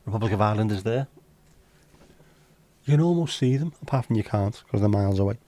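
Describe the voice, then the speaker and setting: A man's voice: lowish voice, expressive, fast, dark tone. A man hosting a casual livestream, reading out chat messages and teasing viewers with jokey, lightly sweary banter. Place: home livestream setup.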